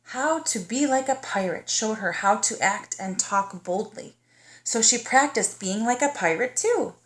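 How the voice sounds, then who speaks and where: A woman's voice: a little high, expressive. A woman narrating a children's storybook as a teacher would, in a child-directed manner that invites the young listener in. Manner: child-directed, pausing to ask the listener questions. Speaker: teacher reading aloud to kindergartners. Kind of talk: storybook read-aloud narration.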